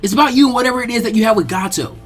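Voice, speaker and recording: A man voicing a brash, earnest teenage ninja boy who is loud, insistent and pleading in a fan fiction audiobook. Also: extremely high pitch, racing, voice pushed up. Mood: urgent pleading.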